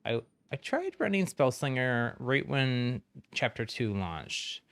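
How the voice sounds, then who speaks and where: A man's voice: medium pitch, big pitch swings, takes it slowly, a bit bright, dry recording. A man streaming a game, chatting casually with viewers, friendly and chatty with frequent ums and laughs. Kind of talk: casual chat while gaming on stream.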